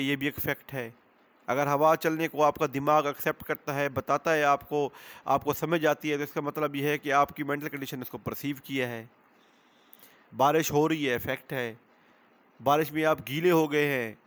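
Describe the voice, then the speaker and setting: A man's voice: a little high, a bit bright. A man with a Pakistani accent lecturing as a law teacher, explaining step by step, repeating key phrases and mixing in English terms. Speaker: advocate giving law lectures. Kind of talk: instructional lecture with examples.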